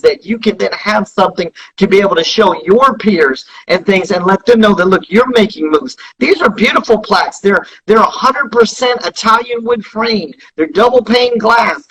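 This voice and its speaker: A man's voice: high voice, fairly bright tone, slightly rough, poor audio. A man giving promotional interview talk as a company founder, speaking earnestly and repeating points for emphasis. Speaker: guest, company founder promoting his service.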